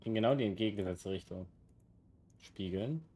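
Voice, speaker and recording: A man's voice: fairly low pitch, a little fast, mellow. A man giving casual live-stream commentary while he plays a video game. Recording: noisy game sounds behind voice.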